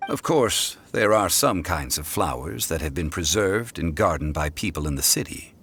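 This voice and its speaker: An actor playing a calm, scholarly gentleman in a video game, speaking in a formal, measured, courteous way over music. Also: deep voice, husky, heavily acted. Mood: measured, knowing. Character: calm, refined, scholarly gentleman consultant, learned and composed. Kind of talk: video game character dialogue.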